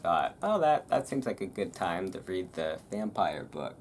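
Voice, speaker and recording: Low-pitched, self-deprecating ASMR host. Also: very animated, moderate pace. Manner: self-deprecating, giggly, rambling. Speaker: host reading aloud for viewers. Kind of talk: casual ASMR chat around a book reading. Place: at home indoors. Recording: noisy room with thunderstorm ambience. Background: thunderstorm ambience, room noise.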